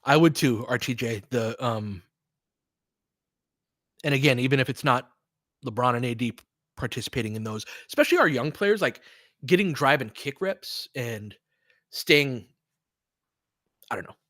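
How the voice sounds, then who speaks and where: A man's voice: medium pitch, animated, moderate pace, long pauses, a bit bright, dry recording, clean studio sound. A man doing casual live basketball commentary as host, chatting with listeners in a conversational, mildly profane way with trailing asides. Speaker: basketball play-by-play host and coach.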